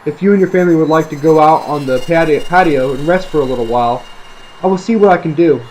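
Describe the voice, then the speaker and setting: A courteous hotel owner read by a man apologizes and placates in a story reading. The voice is a fairly high male one, recorded with slight noise. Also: fast talker, darkish tone, natural voice, poor audio. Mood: apologetic. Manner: polite, placating.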